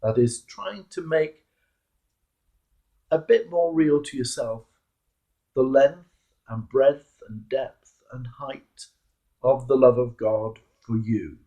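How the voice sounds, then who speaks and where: A British man giving a reflective sermon, telling stories and posing earnest, measured questions to his listeners. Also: medium pitch, dark tone, poor audio. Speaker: clergyman giving a Holy Week reflection.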